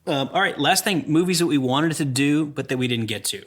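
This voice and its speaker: A man's voice: a little high, animated, fairly quick, fairly bright tone. A man co-hosting a movie podcast, telling stories in a slangy, conversational and casual way.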